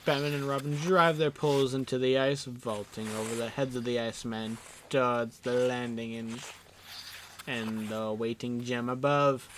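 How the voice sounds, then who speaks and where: A man's voice: medium pitch, a little hiss or hum. A man narrating scripted superhero action dramatically as the narrator of an audio drama. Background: music.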